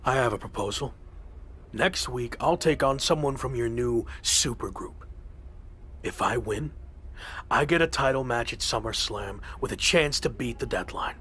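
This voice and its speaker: A man's voice: medium pitch, normal speed, a bit bright, a little hiss or hum, natural voice. A man playing a determined underdog wrestler in a video game cutscene, speaking earnestly.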